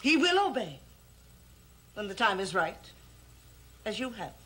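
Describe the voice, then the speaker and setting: An actress playing a proud, defiant older sorceress in a theatrical gothic television soap. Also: female voice, medium pitch, big pitch swings, unhurried, pushed and bright, husky, heavily acted. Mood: commanding. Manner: imperious, theatrical. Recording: old TV soundtrack, dramatic music underneath.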